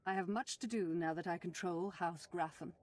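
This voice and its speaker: Woman acting a low, mellow, commanding noblewoman. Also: fairly even intonation, normal speed, a little hiss or hum, lightly acted. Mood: teasing. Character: sultry, commanding noblewoman, playful and self-assured.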